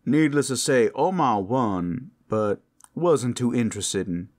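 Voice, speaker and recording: A man playing a tender, protective werewolf lover in an intimate ASMR roleplay, speaking in halting, heartfelt phrases full of endearments. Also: medium pitch, lively intonation. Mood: playful. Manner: soft endearments, halting heartfelt delivery, teasing asides. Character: tender, devoted, protective male werewolf lover working at a brothel.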